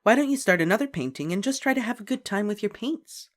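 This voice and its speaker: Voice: medium pitch, moderate pace, voice pushed up, lightly acted. A reader voicing a kind woman art teacher who speaks reassuringly to children. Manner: gentle and reassuring. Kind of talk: audiobook character voice.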